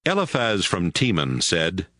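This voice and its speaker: A man's voice: low-pitched, huge pitch swings, unhurried, bright, forceful tone, no echo, clean studio sound. A man narrating scripture in a scripted, announcing style, introducing chapters and speakers.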